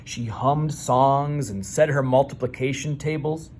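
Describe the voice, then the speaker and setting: A man reading a children's story aloud, with measured delivery and dramatic pauses. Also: medium pitch, a little mellow, a little hiss or hum. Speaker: storybook reader. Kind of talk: expressive children's read-aloud narration.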